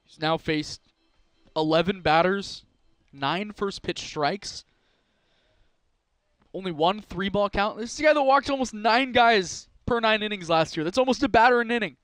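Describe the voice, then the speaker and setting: High-voiced man breaking down baseball plays. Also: normal speed, fairly bright tone, clean tone, dry recording. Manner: analytical, breaks down each pitch and swing. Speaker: color commentator. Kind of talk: baseball color analysis.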